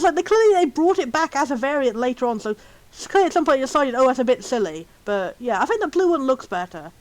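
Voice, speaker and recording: The voice sits high and could be a man's or a woman's. It hosts in a loose, casual commentary style. Sentences halt, circle back and wander off into tangents as the speaker rambles along. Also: no room sound, rough recording. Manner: rambling, halting, full of tangents and chuckles. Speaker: host of a video series.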